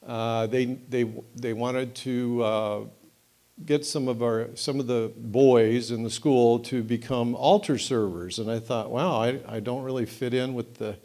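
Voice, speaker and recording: A middle-aged man telling his life story at a recovery meeting, halting and full of fillers and restarts. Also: medium pitch, fairly even intonation, mellow. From United States. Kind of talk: personal testimony at a meeting.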